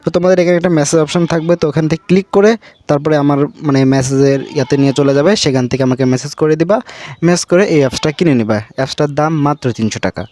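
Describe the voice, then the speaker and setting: Fast-talking Bangladeshi man, informal tutorial. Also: a little high, darkish tone. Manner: repetitive, addresses viewers as brother, frequent check-in tags. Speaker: tutorial host. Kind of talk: informal app tutorial, unscripted screen walkthrough.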